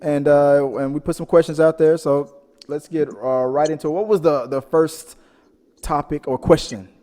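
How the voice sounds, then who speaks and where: Guy podcast host, kinda high voice, rambly animated storyteller, lots of reverb. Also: normal speed. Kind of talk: casual pop-culture panel chat. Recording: reverberant, echoing room sound.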